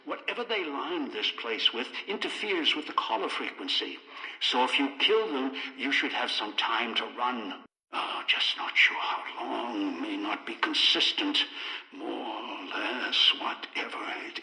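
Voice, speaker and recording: A man's voice: mid-range voice, lively intonation, measured pace, bright, forceful tone, exaggerated voice. A bitter, obsessive old male schemer from a video game. His voice is hard and piercing, coming through speakers with long hall reverb. He issues terse, menacing orders as if used to being obeyed. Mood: calculating, terse. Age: older. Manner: terse, imperious, ominous, clipped orders. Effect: voice over radio and speakers.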